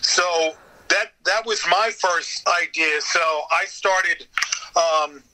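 An American man chats casually as an interview guest. His voice is very bright and sharp, sitting a bit higher than most men's. He tells anecdotes about his voice work in a loose, conversational way. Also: slow, rough recording. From United States.

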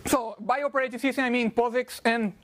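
Fast-talking Brazilian man giving a tech talk. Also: extremely high pitch, fairly even intonation, fairly bright tone. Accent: Brazilian Portuguese. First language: Portuguese. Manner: fast-talking with frequent uh fillers. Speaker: conference speaker. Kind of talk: technical conference talk. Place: lecture hall.

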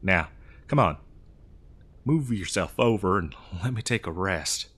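Guy doing a kinda dumb friendly cowboy, american drawl, lowish voice, rambles and trips over his thoughts, comedy roleplay. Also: lively intonation, fairly bright tone, slightly rough, exaggerated voice. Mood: easygoing, weary. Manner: rambling, hesitant, folksy, slow-witted. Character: dim, good-natured cowboy mail rider lost in badlands. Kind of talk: improvised comedy audio roleplay.

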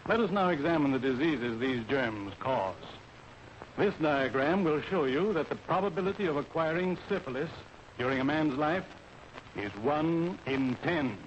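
A man's voice: a little high, animated. A man with an American accent lecturing in a formal, authoritative way as an army medical officer in a 1940s training film. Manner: formal, authoritative, deliberate instruction. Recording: dull, noisy 1940s film soundtrack.

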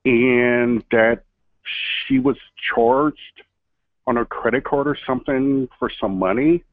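A man's voice: mid-range voice, fairly even intonation, slow, no room sound. A male employee on a work phone call, speaking politely and hesitantly over a tinny line, sounding unsure of himself. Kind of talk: workplace phone conversation.

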